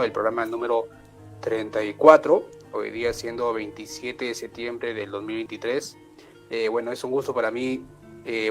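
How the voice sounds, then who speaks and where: A Peruvian man hosts a live online show in a relaxed, welcoming way, his speech full of filler words. He sits at an everyday middle pitch. Quiet music plays faintly beneath his voice. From Peru. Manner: warm welcoming with frequent filler "eh" and "este". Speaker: host. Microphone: video call.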